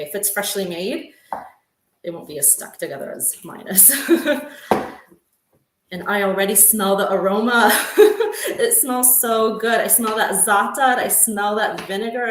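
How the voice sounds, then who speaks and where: A woman's voice: mid-range voice, moderate pace, noisy background. Casual woman cook demoing a recipe, roomy kitchen sound. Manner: chatty, with frequent ums and laughs. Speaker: home cook demonstrating a recipe. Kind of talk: casual cooking demo. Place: home kitchen.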